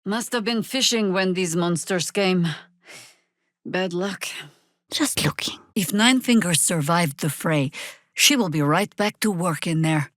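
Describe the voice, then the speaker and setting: An actress playing a world-weary, wry middle-aged veteran druid who leads rebels in a video game, with a dry, measured delivery. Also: female voice, on the low side, dry recording, lightly acted. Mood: wry, watchful. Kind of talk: video game character acting.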